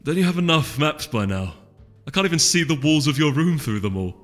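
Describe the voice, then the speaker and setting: A man's voice: fairly high pitch, big pitch swings, fairly quick, a bit bright, voice pushed up. A man plays a young male storybook pony with a wry, nonchalant way of talking. He teases lightly, in a long reverb over faint music.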